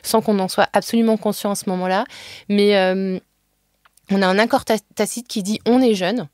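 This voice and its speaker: A woman's voice: mid-range voice, fairly even intonation, brisk, darkish tone, no echo, studio quality. A woman in her forties telling her own love story in a casual podcast conversation, candid and frank, with many fillers and restarts.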